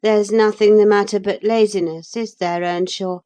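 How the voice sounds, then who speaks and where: A woman's voice: medium pitch, normal speed, mellow, pitched above the performer's own voice, natural voice. A woman voicing a spiteful, sickly teenage boy in an audiobook, with a sneering, taunting manner.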